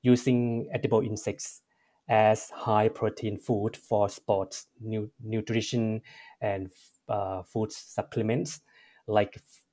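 A man from Thailand with a Thai accent, answering interview questions as a researcher, pausing often with fillers and correcting himself. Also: fairly low pitch, flat delivery, warm tone. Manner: measured, frequent "uh" fillers and self-corrections. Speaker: researcher guest.

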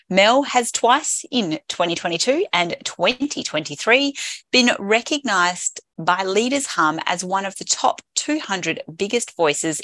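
A woman's voice: medium pitch, a bit bright, no echo. A woman hosting a podcast, reading a guest introduction and asking enthusiastic, upbeat follow-up questions. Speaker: podcast host. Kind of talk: interview hosting.

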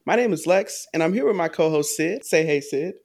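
A woman hosting a podcast in casual chat, lively and teasing. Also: low-pitched, fairly quick, gravelly. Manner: lively, teasing, laughs a lot. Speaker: podcast co-host.

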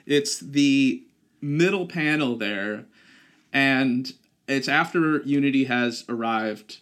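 A man co-hosting a casual podcast, talking with enthusiasm and acting out lines in a lively way. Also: mid-range voice, takes it slowly. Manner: enthusiastic, acts out quoted lines. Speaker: podcast co-host.

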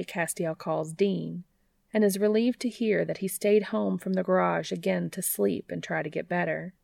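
Low, creaky-voiced woman narrating a story. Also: a little mellow, no echo. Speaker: audiobook narrator of fan fiction.

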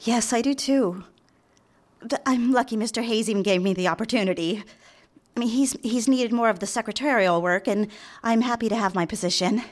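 An actress playing a demure young secretary in a live radio drama, confessing a secret love in polite, old-fashioned diction. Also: female voice, a little high, brisk, lightly acted. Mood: polite, coy. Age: young adult. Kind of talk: live radio drama with first-person narration.

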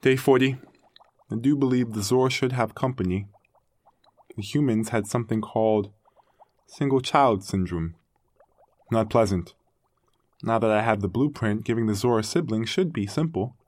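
A man's voice: fairly low pitch, moderate pace, long pauses, darkish tone, no room sound, natural voice. A man playing an aging artificial intelligence, a doting creator-parent musing with theatrical wonder in an audio drama monologue. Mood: musing, reassuring. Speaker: narrator and lead character. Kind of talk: dramatic first-person audio fiction monologue.

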